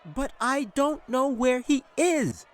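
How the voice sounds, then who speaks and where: Man putting on a high-pitched innocent little girl. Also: expressive, takes it slowly, full character voice. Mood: plaintive. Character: small girl, innocent, doubtful, polite. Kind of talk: fantasy audiobook character voice.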